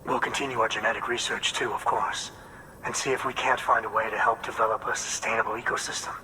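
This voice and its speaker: An actor playing a hardworking, hopeful farm leader in a video game, speaking in a folksy, earnest, measured way. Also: male voice, little pitch movement, close to an everyday voice. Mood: grateful, hopeful. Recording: long hall reverb, faint rumbling noise.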